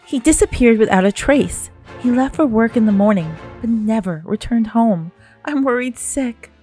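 Woman acting a mellow-voiced distraught radio-drama wife. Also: a little high, animated, moderate pace, faint music behind, lightly acted. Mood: worried. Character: distraught, mysterious wife seeking a detective's help.

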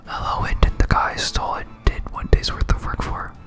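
Whispered voice, ASMR gameplay commentary, casual, rambling, clear music behind. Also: a little fast, a bit bright. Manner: casual, rambling, thinking aloud. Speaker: host of a gaming series.

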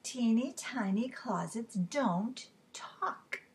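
A woman voicing a tiny, firm schoolteacher in a children's storybook, speaking in a deliberate, emphatic way. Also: high-pitched, lively intonation, fairly bright tone, a little hiss or hum.

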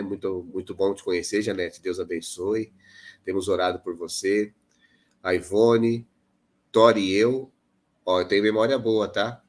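Deep-voiced man, nasal with a cold. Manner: friendly, chatty, lists names. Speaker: prayer group leader. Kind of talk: casual chat on a video call. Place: indoor room with a plain wall. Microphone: earphone headset mic on a video call.

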